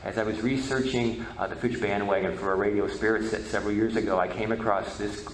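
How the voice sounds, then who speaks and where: A man giving an informal history presentation, halting and often repeating words. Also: on the low side, steady tone. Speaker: presenter and media researcher. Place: reverberant presentation hall. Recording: echoey hall, faint background noise.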